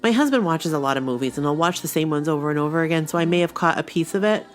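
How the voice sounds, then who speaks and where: Female co-host with a Maine accent: low-ish, nasal, animated casual talker, faint music under. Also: racing. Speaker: podcast co-host. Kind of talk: casual chat.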